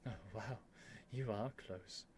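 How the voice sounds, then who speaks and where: A man's voice: medium pitch, slow, a little mellow, a little hiss or hum, close to an everyday voice. A man playing a stubborn, flustered young prince with haughty, theatrical phrasing in an ASMR roleplay. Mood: shy, flustered.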